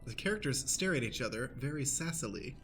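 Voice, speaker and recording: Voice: medium pitch, expressive, brisk, a bit bright, slight background noise. A narrator announcing a radio play, setting scenes and reading stage directions in a presentational style. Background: prominent music.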